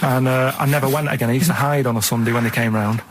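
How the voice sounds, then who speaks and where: A man's voice: mid-range voice, steady tone, fast talker, slight background noise. A man co-hosting a radio show, telling rambling anecdotes in a blunt, colloquial, casual way.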